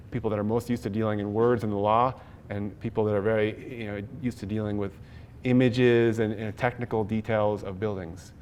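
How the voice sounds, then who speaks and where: A man in his thirties leads a conference session from a podium in a large hall. His voice sits a little low, and his delivery is conversational, with frequent ums and restarts. A faint rumble hums underneath. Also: darkish tone. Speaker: conference presenter. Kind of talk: live workshop talk with audience input. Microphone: podium mic, later handheld.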